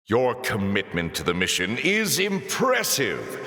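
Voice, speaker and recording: An actor playing a suave, sardonic demon lord in a video game, theatrical and sly, with mock courtesy. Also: male voice, mid-range voice, huge pitch swings, measured pace, pushed and bright, full character voice. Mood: sardonic, amused. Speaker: arena master taunting the player. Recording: echoey hall reverb.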